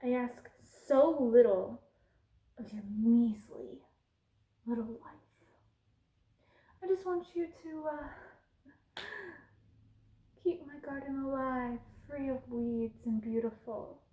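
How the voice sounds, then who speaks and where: Evil queen, acted by a woman, ASMR roleplay: scornful, commanding, slightly high yet soft-toned, drifting into whispers. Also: slow pace, long pauses, roomy sound, low-quality recording. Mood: scornful, threatening. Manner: imperious, scornful, menacing, breaking into whispers. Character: cruel, haughty evil queen bullying a peasant.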